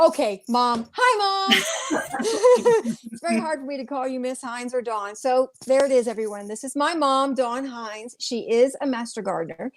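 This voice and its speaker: A woman with a fairly high voice co-hosts a live chat show. She talks casually and informally, as if among friends. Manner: informal, chatty. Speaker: co-host.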